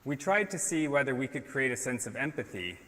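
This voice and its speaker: A middle-aged man giving a prepared stage presentation, speaking in a measured, deliberate way with pauses. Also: mid-range voice, big pitch swings, a bit bright. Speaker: conference speaker. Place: large indoor auditorium stage. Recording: reverberant hall, stage talk.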